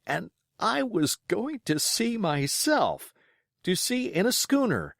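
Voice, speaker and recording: A man reading a classic adventure novel aloud as an audiobook, in an expressive, measured storytelling delivery. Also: high-pitched, creaky, no echo, clean studio sound. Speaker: audiobook reader.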